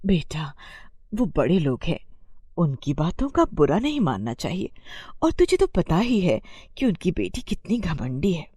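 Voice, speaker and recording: A woman's voice: on the low side, huge pitch swings, a little slow, mellow, husky, no room sound, clean studio sound, exaggerated voice. A woman voicing a cautious, caring middle-aged village mother, admonishing in a motherly way in a moral story. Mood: soothing advice. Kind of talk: acted dialogue for moral story.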